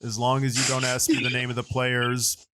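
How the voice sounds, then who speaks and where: A man's voice: mid-range voice, completely flat delivery, brisk, a bit bright. Easygoing male podcast host. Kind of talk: casual podcast chat.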